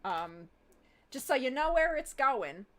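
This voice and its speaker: A woman's voice: high voice, very animated, slow pace, fairly bright tone. A woman hosting a casual livestream chat with fellow cast members. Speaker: show host running the livestream.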